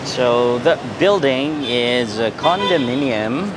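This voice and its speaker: A man with a Filipino accent narrates a night walking tour outdoors, talking haltingly with many fillers into a handheld camera mic. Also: medium pitch, animated. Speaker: vlogger giving a walking tour. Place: busy city street at night, outdoors. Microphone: handheld camera mic while walking. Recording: loud street traffic noise. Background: loud traffic and street noise.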